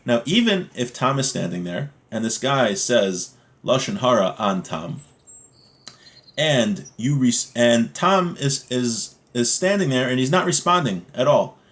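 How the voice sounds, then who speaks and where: A man's voice: medium pitch, sing-song, measured pace, a bit bright. Man teaching an informal religious class. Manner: conversational, explanatory, with rhetorical check-ins. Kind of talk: informal lesson reading Hebrew text and explaining it in English.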